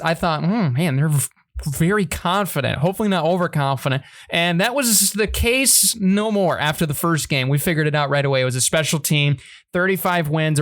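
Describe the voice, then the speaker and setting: A young man hosting a sports talk show on the radio, chatting in an upbeat, energetic way. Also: fairly high pitch.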